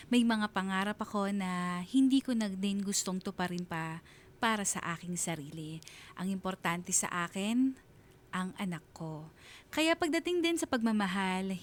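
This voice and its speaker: A woman's voice: medium pitch, natural voice. Woman playing an earnest single mother, noisy backing. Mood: resigned, wistful. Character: reflective single mother recounting her rekindled love story. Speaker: narrator of a radio drama letter. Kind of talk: dramatized confessional storytelling to a radio DJ.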